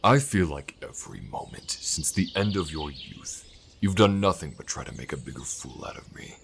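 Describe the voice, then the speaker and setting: Stern older king, acted by a man, extremely low and creaky, imperious scolding, audio drama with noisy birdsong behind. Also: lively intonation, moderate pace, heavily acted. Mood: angry, bitter. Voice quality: gravelly. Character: stern, domineering older king, contemptuous father. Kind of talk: dramatic fantasy audio roleplay acting.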